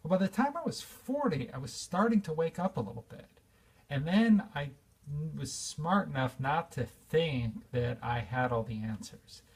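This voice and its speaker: A man's voice: on the high side, expressive, unhurried, husky, distant mic. A man in his fifties giving an earnest, reflective spiritual talk, with fillers and restarts. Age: 50s. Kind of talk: informal spiritual talk to an audience.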